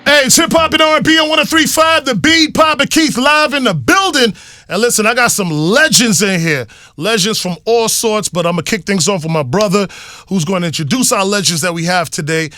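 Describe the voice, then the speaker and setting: Bright-voiced radio host from New York. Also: male voice, sits high, fairly quick, no room sound, studio quality, faint music behind. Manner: upbeat, hype announcer delivery. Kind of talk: radio interview hosting. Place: radio studio.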